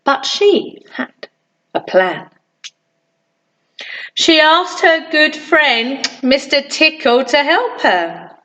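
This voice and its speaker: A woman reading a children's story aloud in an expressive, deliberate way for young listeners. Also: high voice, poor audio. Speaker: primary school storytime reader.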